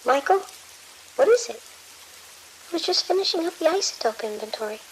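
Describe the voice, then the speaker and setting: A woman's voice: very high-pitched, animated, fairly quick, low-quality recording. An actress playing a worried female medical researcher in a horror audio drama. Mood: puzzled, anxious. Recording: faint hiss and rain-like noise.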